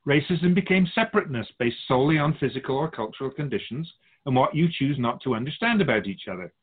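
Man playing a lively-pitched, husky otherworldly soul keeper. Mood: earnest, instructive. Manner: didactic, measured explaining, speaks of humans as outsider. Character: otherworldly keeper of souls, patient, all-knowing teacher of humans. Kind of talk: scripted radio drama dialogue. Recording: dull phone-like narrow sound.

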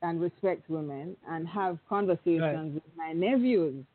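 Halting reflective woman on a narrow line. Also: low voice. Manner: reflective, halting with many restarts. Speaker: panel guest. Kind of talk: radio panel discussion. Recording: phone-like narrow sound.

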